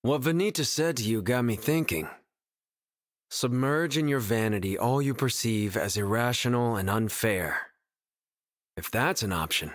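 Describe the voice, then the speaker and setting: A man playing a brash, ambitious young rich heir in an anime game, talking in a casual, cocky way. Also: lowish voice.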